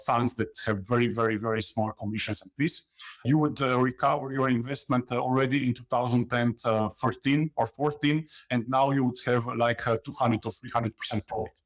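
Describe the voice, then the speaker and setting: A man from Slovenia, a guest in an interview, explaining investing in a halting way full of fillers and "you know". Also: mid-range voice. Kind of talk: conversational interview.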